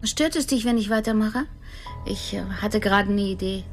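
An actress playing a hesitant but firm former wife in a radio drama, speaking softly. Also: female voice, medium pitch, normal speed, faint music behind, close to an everyday voice. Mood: surprised, awkward.

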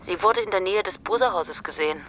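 Casting: woman as sarcastic, no-nonsense policewoman, bright forceful voice, radio drama, faint noise behind. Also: mid-range voice, quick pace, lightly acted. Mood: matter-of-fact. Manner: curt, dry, sarcastic. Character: brusque, sardonic policewoman, sceptical and quick to bite. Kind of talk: naturalistic German radio drama acting.

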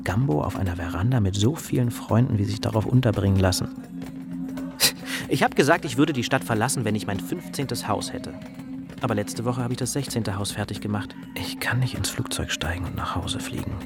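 An actor playing a moved, reflective volunteer house builder in a radio drama monologue. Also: male voice, lowish voice, animated, moderate pace, creaky, over music. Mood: moved, wondering. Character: moved volunteer house builder who stayed in New Orleans.

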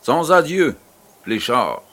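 A man's voice: on the high side, very animated, slow pace, fairly bright tone, full character voice. A man playing a genial, curious aristocratic baron in an audio drama, urbane and a little condescending. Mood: teasing.

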